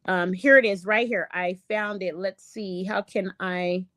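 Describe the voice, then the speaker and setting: Woman, slow halting lecture, many ums, reading slides, english not her first language, dry close sound. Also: mid-range voice, steady tone, fairly bright tone, dry recording. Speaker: online show host.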